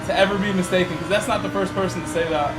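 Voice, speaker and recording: A man's voice: high-pitched, fairly even intonation, rapid-fire, heavy background noise. A man with a Connecticut accent streaming a racing game, chatting casually and laughing with his viewers. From Connecticut, USA. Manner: chatty, laughs easily, talks to chat mid-race. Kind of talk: casual live-stream chat while racing.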